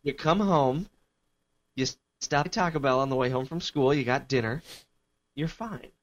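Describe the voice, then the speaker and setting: A man's voice: mid-range voice, moderate pace. A man co-hosting a casual tech podcast, dropping dry, wry quips. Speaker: podcast co-host. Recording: dull lo-fi sound.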